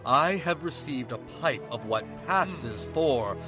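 A man playing a cold, vengeful gentleman murderer in a gothic audio drama trailer, grave and formal. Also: medium pitch, animated, slow pace, music in the background.

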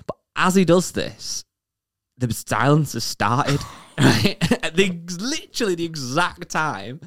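A man's voice: on the high side, takes it slowly, no echo. A man co-hosting a casual podcast, telling an anecdote with laughter and acted-out quotes. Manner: animated anecdote-telling, acts out quoted voices, laughs often. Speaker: podcast co-host. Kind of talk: casual chat, storytelling.

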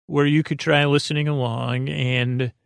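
A man hosting a sleep podcast in a creaky voice, meandering and halting through a silly improvised bedtime ramble. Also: on the high side, flat delivery, no room sound, clean studio sound.

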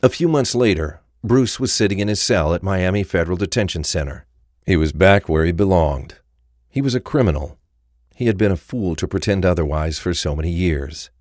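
A man's voice: low voice, animated, a little fast, darkish tone, no room sound, studio quality. A man reading scripted narration for a crime thriller audio drama.

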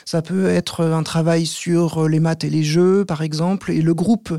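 A man who teaches maths, answering interview questions in a measured, step-by-step way with frequent hesitant pauses. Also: a little high, little pitch movement, warm tone, dry recording, clean studio sound. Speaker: maths teacher, podcast guest.

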